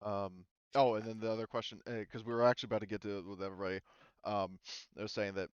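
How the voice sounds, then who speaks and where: A man acting as game master, casually explaining tabletop game rules in a halting, informal way over a slightly lo-fi voice chat. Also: on the low side, little pitch movement, clean tone, no room sound.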